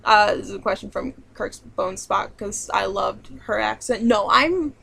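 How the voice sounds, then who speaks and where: A woman's voice: mid-range voice, a little slow, vocal fry, pushed and bright. A young woman from southern New York with a southern New York and central Pennsylvania accent, chatting and rambling through a casual Q&A vlog with lots of ums and likes. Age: young adult. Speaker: vlogger.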